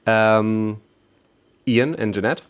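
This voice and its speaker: Smooth low-voiced German man hosting. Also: slow. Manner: hesitant, searching for words with many fillers. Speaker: podcast host. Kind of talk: casual interview.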